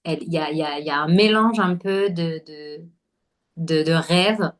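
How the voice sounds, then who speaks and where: A woman from Algeria telling her story on a podcast in a slightly low voice. She speaks casually and hesitantly, with many fillers and restarts. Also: normal speed. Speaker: podcast guest, holistic nutrition coach. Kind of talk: casual conversational interview.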